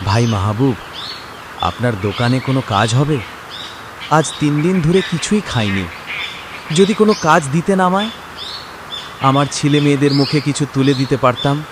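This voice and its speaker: A man voices a poor village father in a moral cartoon. He pleads plaintively and prayerfully, at a middle pitch. Clear nature noise and birdsong run behind him. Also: normal speed, long pauses, lightly acted. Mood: pleading. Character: poor, despairing but devout village father. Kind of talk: dramatised moral cartoon story. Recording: noisy track with birdsong and nature ambience.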